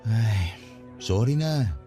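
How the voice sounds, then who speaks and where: An earnest young father in a radio drama. He sounds anxious and conversational, often asking questions as he pleads with his partner. Soft music plays behind him. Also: lowish voice, lively intonation, very slow. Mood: earnest, pleading. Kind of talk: Tagalog radio drama acting. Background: music bed.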